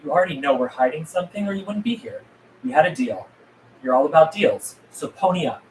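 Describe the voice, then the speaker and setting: Over clear background noise, a man acts out a defiant leader of survivors in a zombie horror film. His voice sits a little high for a man, and he talks in terse, urgent, blunt lines. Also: animated, a little fast, a little mellow, lightly acted, poor audio. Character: defiant, shrewd male survivor leading his small group. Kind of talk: table read of a film script.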